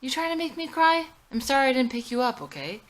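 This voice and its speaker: A woman's voice: high-pitched, very animated, quick pace, pitched above the performer's own voice. A woman reading the part of a dismissive husband in a drama script, placating and defensive. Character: dismissive, placating husband who plays guitar.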